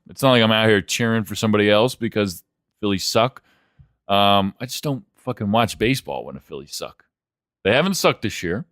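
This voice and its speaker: Male sports co-host, smooth low register, blunt and profane, off-the-cuff chat. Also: fairly bright tone, no echo, clean studio sound.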